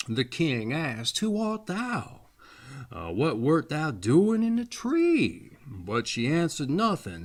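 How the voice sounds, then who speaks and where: Guy reading a storybook out loud, theatrical, really unhurried, pitch moves around a lot. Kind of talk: expressive fairy tale narration.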